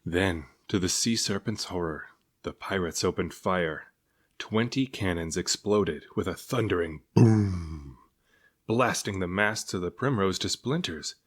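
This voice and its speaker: A man's voice: low-pitched, measured pace, breathy edge. A man reading a children's storybook aloud as narrator. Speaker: storybook reader.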